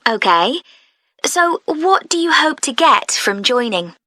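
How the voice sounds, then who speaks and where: Friendly club receptionist lady, bright highish voice, unhurried and helpful, listening test style. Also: sing-song, dry recording, heavily acted. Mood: helpful, interested. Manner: clear, helpful, methodical questioning. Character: friendly, efficient camera club receptionist taking an application. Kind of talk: scripted listening-test phone dialogue.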